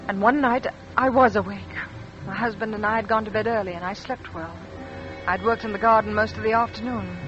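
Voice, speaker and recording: An actress playing an anxious, devoted young wife haunted by fear, hesitant and questioning, in an old radio drama. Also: female voice, medium pitch, natural voice. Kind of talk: 1940s radio drama acting. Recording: dull old radio recording.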